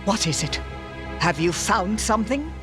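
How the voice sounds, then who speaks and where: Imperious game villain, high pitched, theatrical swoops, pompous, short-tempered, music underneath. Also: male voice, lively intonation, moderate pace. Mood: impatient, scornful. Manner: pompous, commanding, clipped formal diction. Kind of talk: theatrical video game voice acting. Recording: music behind the voice.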